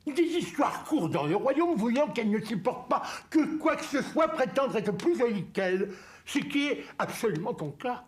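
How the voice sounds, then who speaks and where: A woman's voice: on the high side, big pitch swings, moderate pace. A woman voicing a wise, fair-minded little forest dweller in a French cartoon dub, speaking in articulate, somewhat formal phrases. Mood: knowing. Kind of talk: French-dubbed cartoon acting.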